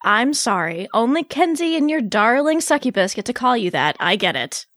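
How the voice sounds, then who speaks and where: Woman playing a sarcastic, high-voiced teasing Valkyrie. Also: sing-song, moderate pace, fairly bright tone, no echo, clean studio sound. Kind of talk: amateur fan fiction table read.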